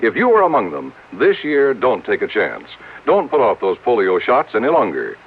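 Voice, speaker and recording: A man's voice: medium pitch, huge pitch swings, normal speed, slight background noise. An American man working as a 1950s radio announcer, reading scripted copy in a formal, emphatic, rhetorical way. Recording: narrow, tinny vintage radio recording.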